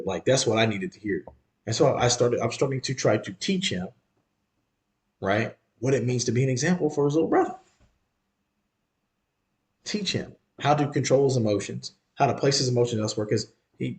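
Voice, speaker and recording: A man in his mid-thirties talks in a loose solo podcast monologue at an ordinary middle pitch. He speaks in a relaxed, slangy way and leans hard on repeated points. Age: 30s. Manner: conversational, emphatic and repetitive, slangy. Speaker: podcast host. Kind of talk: casual monologue.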